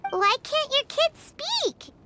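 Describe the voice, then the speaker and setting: A woman voicing a small, cheeky, childlike fairy girl in a video game, with a squeaky voice. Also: big pitch swings, slow, very noisy, heavily acted. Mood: curious. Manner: exclamatory, refers to herself by name. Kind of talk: video game character acting.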